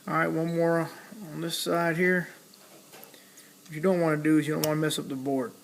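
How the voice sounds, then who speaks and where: A man's voice: a little high, little pitch movement, moderate pace, vocal fry, slight background noise. A man giving a casual hands-on tutorial, talking plainly step by step as he works at a repair task. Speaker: electronics hobbyist demonstrating a repair.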